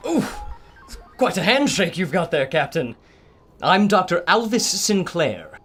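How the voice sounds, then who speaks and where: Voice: mid-range voice, very animated, measured pace, a bit bright, husky, faint music behind, heavily acted. An actor playing an awestruck, courteous doctor of science with a formal, theatrical manner in a radio adventure. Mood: courteous, eager. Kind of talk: old-time radio adventure acting.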